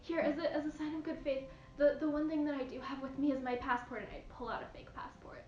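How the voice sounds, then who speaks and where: A young woman with a very high voice plays a tabletop game, eagerly laying out her schemes. Her voice is recorded in a roomy space with a dull, lo-fi sound and faint music underneath. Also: steady tone, fast, a little mellow. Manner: eager, plans aloud with restarts. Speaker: player. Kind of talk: in-character tabletop play.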